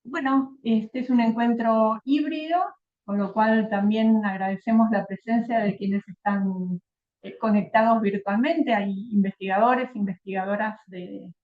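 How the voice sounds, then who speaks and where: A woman with a Rioplatense Spanish accent introduces a lecturer at an academic event. Her voice sits at a middle pitch and sounds thin and phone-like. She reads the bio steadily, adding fillers and tag questions. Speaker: event host. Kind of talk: formal introduction of a speaker. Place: lecture hall. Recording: tinny phone-like recording.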